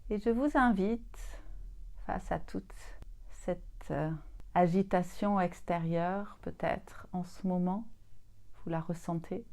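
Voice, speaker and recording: A woman leading a guided yoga nidra relaxation as a teacher, soothing and gently inviting, leaving long pauses between phrases. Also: on the low side, gravelly.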